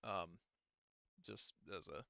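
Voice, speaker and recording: A man's voice: low voice, slow pace, vocal fry, pushed and bright, no echo, highs cut off. A man acting as game master, casually narrating a tabletop role-playing game in an informal way.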